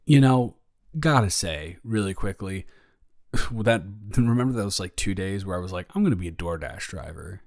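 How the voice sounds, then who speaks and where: A young man playing a caring, flustered roommate in an intimate roleplay audio, rambling and stammering as he gently reassures a friend. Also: deep voice, lively intonation, normal speed, creaky, dry recording, clean studio sound. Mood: chatty, upbeat. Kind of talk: improvised intimate roleplay audio, one-sided conversation.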